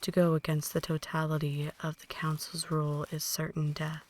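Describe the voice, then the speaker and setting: A woman playing a possessive, ancient vampire in an ASMR roleplay, speaking softly and breathily, often in whispers, with a deliberate, condescending menace. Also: low-pitched, monotone, natural voice. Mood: calm, lecturing. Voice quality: soft, breathy, largely whispered. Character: possessive, ancient female vampire mentoring a newborn, cold and menacing. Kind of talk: ASMR roleplay, slow intimate dramatic monologue.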